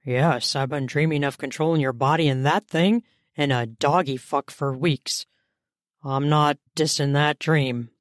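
A woman voicing a dominant, teasing man in an audiobook romance, murmuring in a strained, drawn-out way. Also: low voice, steady tone, no room sound, studio quality, pitched below the performer's own voice. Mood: aroused, assured. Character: dominant, teasing male lover, controlled and aroused.

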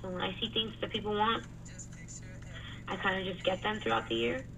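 A woman's voice: medium pitch, fairly even intonation, fairly quick, creaky, background noise. A woman co-hosting a casual podcast, talking about her week in a chatty, matter-of-fact way. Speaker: co-host. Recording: distant from the mic, music behind.

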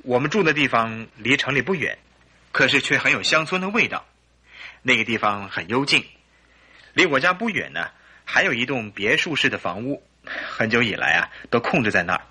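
A man's voice: mid-range voice, lively intonation, normal speed, bright, forceful tone, slightly rough, full character voice. A man acting a polite, earnest, troubled English husband in his early thirties in a Chinese radio drama. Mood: earnest recounting.

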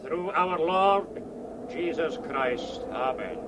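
An actor playing a minister solemnly declaiming burial rites in a radio drama. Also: male voice, on the high side, expressive, slow pace, heavy background noise.

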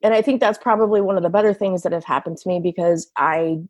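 A woman's voice: on the low side, fast talker, a little mellow, dry recording. A woman working as an enologist with a big voice, chatting casually with many fillers in an interview.

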